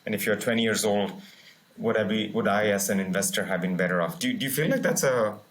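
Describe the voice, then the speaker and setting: A man's voice: on the low side, fast. A man in his forties hosting a video call interview on a headset, conversational and affirming. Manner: conversational, frequent backchannels. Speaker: podcast host.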